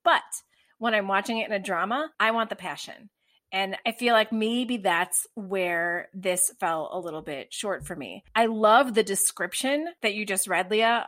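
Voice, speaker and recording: A woman's voice: medium pitch, fairly bright tone. Thoughtful woman discussing a drama. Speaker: podcast co-host.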